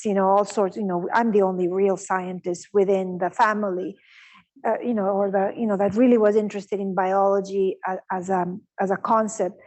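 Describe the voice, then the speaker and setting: A woman's voice: mid-range voice, darkish tone. A woman raised in Honduras whose first language is Italian, answering questions as a guest scientist in an onstage interview, speaking thoughtfully and often saying "you know.". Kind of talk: onstage interview at a public lecture.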